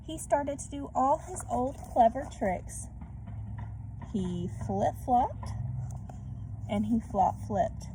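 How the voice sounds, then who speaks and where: A woman reading a storybook aloud to children in a gentle manner, pausing to put questions to her young listeners. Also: on the high side, animated, vocal fry, rough recording. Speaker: read-aloud host for children. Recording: background noise.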